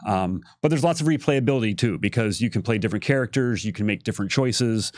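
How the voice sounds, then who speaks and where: A man answering questions on a casual livestream as a creative director, halting and repeating words as he thinks. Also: fairly low pitch, animated, no room sound, clean studio sound.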